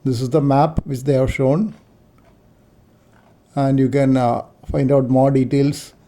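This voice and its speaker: A man narrating an unscripted screen-share tutorial, explaining things in short, halting, step-by-step phrases. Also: medium pitch, flat delivery, dark tone. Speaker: amateur radio operator giving a software demo.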